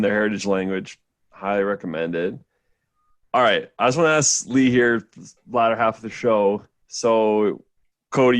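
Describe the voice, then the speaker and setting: A man hosting a podcast in a relaxed, loose style, steering the talk with fillers. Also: lowish voice, dry recording. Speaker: podcast host. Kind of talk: casual podcast chat.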